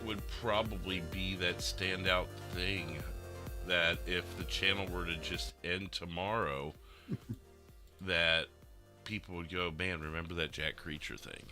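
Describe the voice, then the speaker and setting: A man hosting a gaming podcast livestream in casual chat, often pausing mid-sentence. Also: low voice, fairly even intonation, sharp tone, faint music behind.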